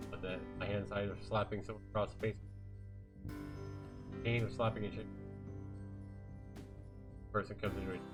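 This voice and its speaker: A man's voice: on the low side, flat delivery, moderate pace, long pauses. A man livestreaming a visual novel, reading the narration aloud casually and sometimes stumbling and correcting himself. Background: game music with guitar.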